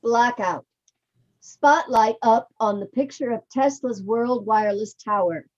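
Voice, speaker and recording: Slow woman reading stage directions, dull audio. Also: fairly high pitch. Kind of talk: scripted narration of stage directions. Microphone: video call. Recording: dull video-call audio.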